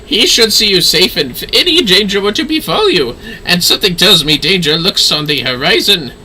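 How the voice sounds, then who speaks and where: Man putting on a high, theatrical, haughty nobleman. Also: very animated, moderate pace, sharp tone, heavily acted, rough recording. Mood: excited, grand. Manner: florid, pompous, declamatory. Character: haughty, theatrical nobleman astrologer, easily delighted.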